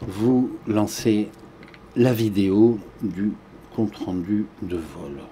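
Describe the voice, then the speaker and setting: Dark-voiced man interpreting steadily. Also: lowish voice, takes it slowly, slight background noise. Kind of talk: simultaneous interpreting.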